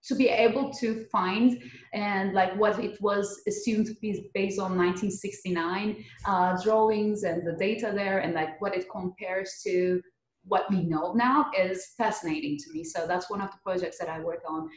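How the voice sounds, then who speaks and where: A woman's voice: mid-range voice, fairly even intonation, moderate pace. Turkish-accented woman in an echoey room. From Turkey. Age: 30s. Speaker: podcast guest, geotechnical earthquake engineer. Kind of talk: conversational interview answers. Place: living room at home, indoors. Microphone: computer mic on a video call. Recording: roomy echo on video call.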